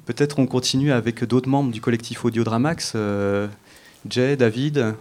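Medium-pitched male interviewer, stumbling a little. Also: normal speed, a little mellow, slight background noise. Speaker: radio show co-host, interviewer. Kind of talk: unscripted interviewing.

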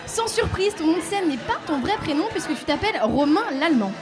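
Female radio host, high voice, playful teasing intros, audience noise in the background. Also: big pitch swings, fairly quick, music in the background, crowd noise. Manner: playful, teasing delivery, laughing between lines.

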